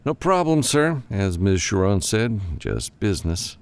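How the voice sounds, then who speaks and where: A man voicing a reluctant, gruff but polite freelance pilot in an audiobook, curt and reserved. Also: on the low side, very animated, a little slow, voice pushed up.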